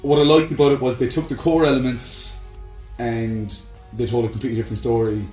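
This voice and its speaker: A man's voice: medium pitch, flat delivery, fairly quick, a little mellow, echoey room, faint music behind. A man in his thirties co-hosting a casual podcast, chatty and laughing often between fillers. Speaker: podcast co-host. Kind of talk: casual chat about films and games.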